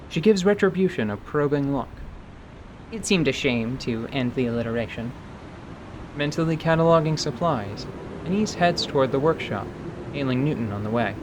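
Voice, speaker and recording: A man's voice: expressive, normal speed, noisy background. A man narrating a dramatized fantasy story from a script. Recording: rumbling background ambience.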